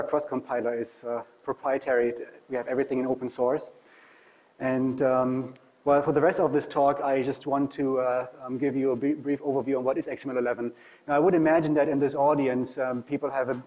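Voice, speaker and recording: A man's voice: medium pitch, darkish tone, lo-fi sound. A man from Germany with a German accent, speaking as a professor presenting an informal technical talk. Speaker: university professor, guest speaker.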